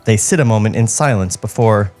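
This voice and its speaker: A man's voice: on the low side, a little fast, a little mellow, faint music behind. A man co-hosting a podcast, casually reading screenplay directions and chatting in a comedic table read.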